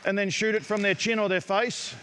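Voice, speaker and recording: A middle-aged man with an Australian accent, coaching players in a gym with brisk, direct instructions. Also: high-pitched, fairly even intonation, pushed and bright, a little hiss or hum. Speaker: basketball coach. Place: large indoor basketball gym. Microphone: headset mic.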